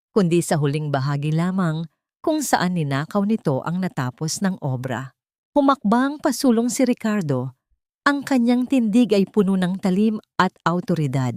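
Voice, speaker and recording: Lady reading a dramatic love story, kinda low voice, solemn and deliberate, super dry recording. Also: darkish tone, no echo. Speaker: narrator of audio drama love stories. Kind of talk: dramatic scripted story narration.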